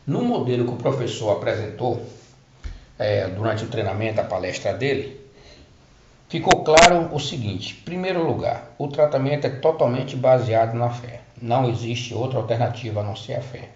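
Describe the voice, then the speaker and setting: A man's voice: mid-range voice, moderate pace. A middle-aged man giving a personal testimony about spiritual healing, hesitating and restarting his words as he explains. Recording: roomy, dull lo-fi recording.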